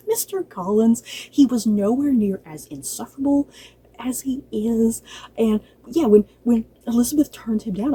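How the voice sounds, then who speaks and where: A woman's voice: on the high side, warm tone, breathy edge. A woman giving a casual, gushing review as a vlogger, talking directly to viewers in an informal, playfully exasperated way. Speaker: book and drama review vlogger. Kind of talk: casual review chat to camera.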